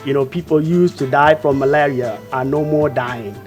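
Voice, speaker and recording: A man's voice: medium pitch, normal speed, a little mellow, faint music behind. A man, a charity country leader, telling a community story in an interview and sometimes repeating words.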